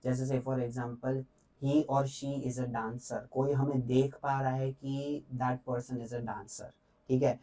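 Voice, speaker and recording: Unhurried young male teacher, mellow and flat delivery, a little off-mic; repeats key points and checks in. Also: mid-range voice, recorded from a distance, poor audio. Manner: explains in mixed Hindi and English, repeats points, checks in often. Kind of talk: exam revision lecture.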